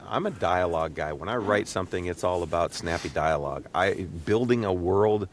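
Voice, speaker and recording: A middle-aged man hosting a casual interview, often halting and repeating words as he gathers his thoughts. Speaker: podcast host and interviewer. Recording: low rumbling background noise.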